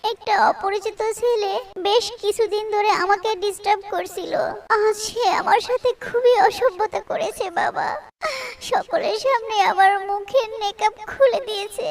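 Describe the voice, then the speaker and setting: A woman's voice: very high-pitched, far from the mic. A woman voicing a modest, anxious teenage schoolgirl in a cartoon, earnest and pleading. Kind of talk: cartoon voice acting.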